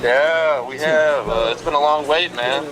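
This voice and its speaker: A man's voice: a little high, a little slow, over a noisy crowd. A man making a casual phone call as a supposed team manager, heard in a very noisy crowded room. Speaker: prank caller posing as team manager.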